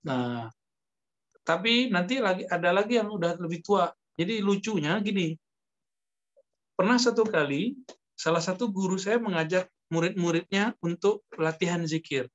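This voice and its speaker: A man teaches religion in a slightly high voice. He explains point by point in a conversational, halting preacher's style, pausing often. The recording is somewhat muffled and lo-fi. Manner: explanatory, halting, with pauses and Arabic quotations. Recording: dull lo-fi lecture recording.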